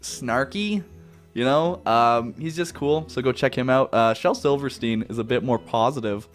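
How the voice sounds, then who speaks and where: A man's voice: mid-range voice, moderate pace, faint music behind. A young man from Canada with a Canadian accent, answering viewer questions in a rambling, laid-back way that keeps correcting itself. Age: young adult. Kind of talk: casual Q&A chat.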